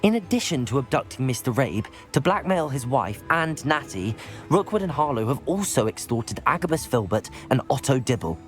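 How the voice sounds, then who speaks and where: A man's voice: medium pitch, sing-song, moderate pace, faint music behind, lightly acted. An actor voicing a polite, curious young male student in a video game cutscene. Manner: polite, inquisitive.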